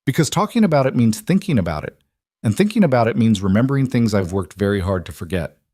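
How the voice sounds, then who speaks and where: A guarded young woman, as a male narrator plays her, speaks in a close, dry sound. She is soft-spoken and hesitant, a quiet maid in a romance audiobook who keeps her past to herself. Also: on the low side, sing-song, fast, no echo. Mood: guarded, wistful.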